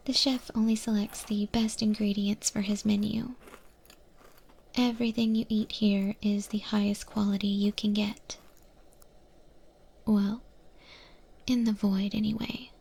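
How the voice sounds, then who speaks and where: A soft, breathy whisper from a gentle monster girl acted by a woman guiding a newcomer in an ASMR roleplay. She is coaxing and takes her time over every phrase. Faint kitchen noise sits behind the voice. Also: medium pitch, little pitch movement, slow pace, pauses often. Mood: playful, inviting. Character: soft-spoken monster girl, gentle chef's helper in the void. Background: kitchen cooking sounds, ambience.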